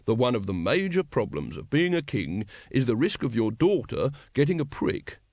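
A man's voice: mid-range voice, big pitch swings, moderate pace, a little mellow, dry recording, studio quality. A man voicing a stolid, ponderous royalist know-all, lecturing in an audiobook.

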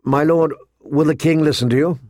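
A man's voice: a little high, steady tone, normal speed, darkish tone, no room sound, studio quality, voice pushed up, close to an everyday voice. A man narrating an audiobook, voicing an anxious, guarded, loyal young man in an earnest, reluctant manner. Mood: worried.

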